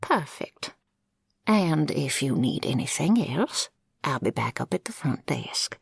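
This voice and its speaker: A woman playing a kindly older female librarian in an audio roleplay, with a husky, creaky voice and gently formal, folksy manner. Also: sits low, very animated, takes it slowly, no echo, studio quality, exaggerated voice. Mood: helpful, gentle. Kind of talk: scripted audio roleplay acting.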